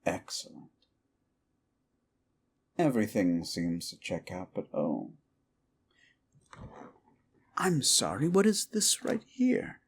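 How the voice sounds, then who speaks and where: Deep, slow, paranoid yandere prince, soft menace and whispers; gravelly, animated delivery. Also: male voice, heavily acted. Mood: commanding. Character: unhinged possessive young prince, paranoid. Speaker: voice actor. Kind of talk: audio roleplay drama. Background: faint dark music.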